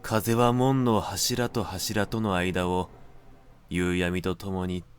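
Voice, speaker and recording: A man narrating a literary audiobook in a measured, deliberate manner with dramatic pauses. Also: low-pitched, little pitch movement, a little hiss or hum. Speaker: narrator reading literature aloud.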